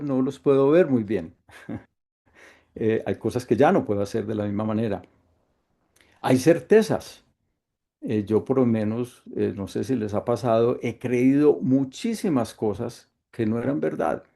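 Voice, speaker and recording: A middle-aged Colombian man with a Colombian accent, leading an online workshop in a calm, thoughtful way with frequent hesitations. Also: mid-range voice, measured pace, creaky. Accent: Colombian Spanish. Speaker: workshop facilitator. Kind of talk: reflective online workshop talk. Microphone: video call.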